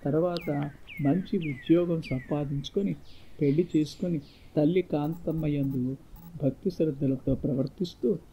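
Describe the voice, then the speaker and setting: A man's voice: on the high side, fairly even intonation, moderate pace, dark tone. A man narrating a scripted moral story as a storyteller. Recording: muffled lo-fi, faint background noise.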